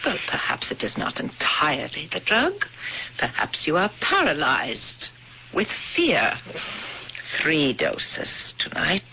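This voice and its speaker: Vengeful survivor, measured and cold, fairly low voice for a woman, vintage radio sound. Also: wildly swooping pitch, creaky, husky, heavily acted. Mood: icily accusing. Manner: measured, icy, deliberate. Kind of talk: 1970s radio drama acting. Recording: dull old radio broadcast with hiss.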